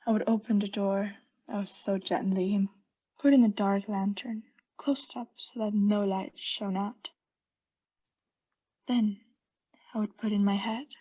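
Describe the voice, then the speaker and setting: A woman playing a mad condemned murderess in a radio play, intense and halting, often sinking into breathy hushed tones as she insists she is sane. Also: mid-range voice, lo-fi sound. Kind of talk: theatrical radio play monologue.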